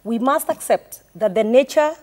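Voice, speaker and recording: A Kenyan woman senator on a panel, pushing back with measured, firm reasoning. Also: a little high, expressive, darkish tone. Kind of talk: debate rebuttal.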